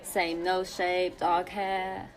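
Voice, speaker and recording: A woman's voice: lowish voice, level tone, drawn-out words. Chatty, motherly café woman played by an actress. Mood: gossipy, lively. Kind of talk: audio play acting.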